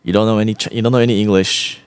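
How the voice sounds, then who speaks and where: A man's voice: lowish voice, steady tone, racing, clear, resonant tone, no echo. A man chatting casually with an AI voice assistant, rambling and repeating himself as he rephrases his questions. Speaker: host.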